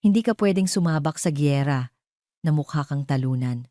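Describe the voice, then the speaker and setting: A calm, professional Filipino woman mentor, acted out by a female storyteller in an audio drama. She instructs firmly and evenly. Her voice is low and dark, recorded dry. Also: moderate pace, no echo. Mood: firm, instructing.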